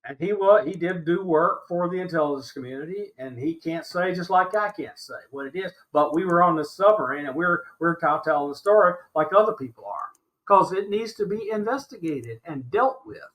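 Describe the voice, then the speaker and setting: A man's voice: high voice, animated, a little fast, fairly bright tone, low-quality recording. An older American man of about seventy, talking emphatically and insistently as an interview guest, repeating points for stress. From Alabama, United States. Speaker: interview guest recounting his experiences. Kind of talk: animated conversational argument.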